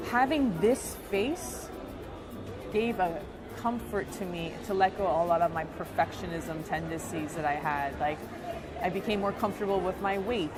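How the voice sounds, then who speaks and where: An American woman telling her personal story in an interview, earnest and thoughtful, in short phrases with pauses. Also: lowish voice. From United States. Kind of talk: reflective personal account. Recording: music bed underneath.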